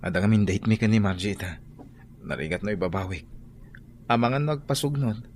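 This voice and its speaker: Man acting devoted low-voiced young boyfriend. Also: fairly quick, a little hiss or hum, natural voice. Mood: easygoing, sincere. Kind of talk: Ilocano radio drama acting.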